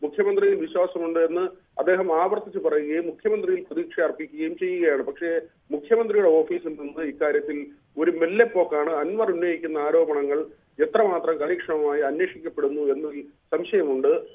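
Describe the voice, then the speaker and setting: Over a narrow, tinny phone line, a male news reporter delivers live political analysis. His voice is fairly high, and he often halts on filled pauses. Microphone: phone call.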